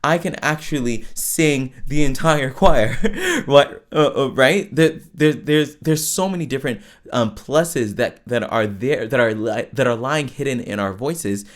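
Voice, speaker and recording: A man with a lower voice, hosting a podcast and explaining singing to listeners in a loose, chatty way, full of restarts and tag questions. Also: a little slow. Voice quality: lower voice with falsetto access. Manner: conversational, many fillers and restarts, frequent "right?". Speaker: podcast host and vocal coach. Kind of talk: casual teaching monologue.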